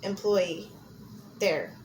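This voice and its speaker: A young American woman from Montana speaks at a middling pitch in a casual interview. She is candid and informal, working out her answers as she talks. The amateur recording carries clear background noise. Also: drawn-out words, darkish tone, poor audio. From Montana, United States.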